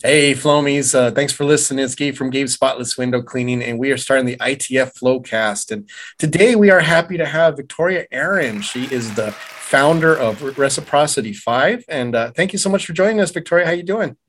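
A man's voice: medium pitch, brisk, fairly bright tone, a little hiss or hum. Casting: American male podcast host, informal and chatty, conversational interviewer. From Tucson, Arizona, United States. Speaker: podcast host and small business owner.

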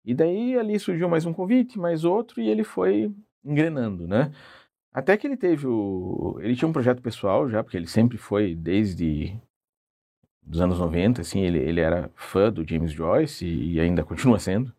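A man's voice: on the low side, expressive, moderate pace, dark tone. A man, a translator telling his story in an interview, hesitant and self-deprecating with many restarts. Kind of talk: relaxed conversational storytelling.

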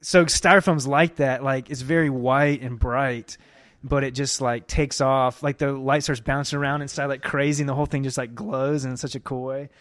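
A man's voice: medium pitch, steady tone, moderate pace, fairly bright tone. Laughing, rambling man in his thirties. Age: 30s. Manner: rambling storyteller, laughs often. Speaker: podcast host. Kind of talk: casual chat.

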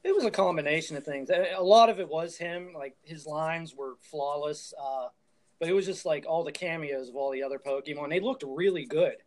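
A man's voice: a little high, brisk. A man chatting casually as a panelist on a podcast. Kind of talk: casual chat.

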